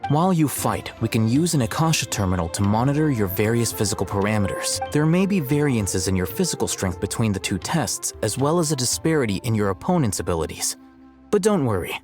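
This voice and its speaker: An actor playing a cool, calculating young male scholar in a video game, speaking in a measured, articulate, detached way. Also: medium pitch, big pitch swings, darkish tone, faint music behind, natural voice. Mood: detached, analytical. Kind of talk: English video game voice acting.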